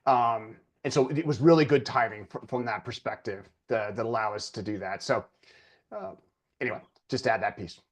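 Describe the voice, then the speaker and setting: A man's voice: medium pitch, normal speed. A man speaking as a panelist, explaining a point informally in an online discussion. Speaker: expert panelist. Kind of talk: spontaneous explanatory talk.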